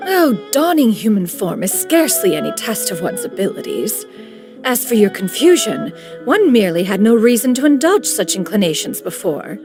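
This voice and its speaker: A woman's voice: medium pitch, very animated, moderate pace. A woman voicing a proud, ancient crane spirit in a video game, speaking formally and archaically and calling herself "one". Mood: composed. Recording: game audio with music behind.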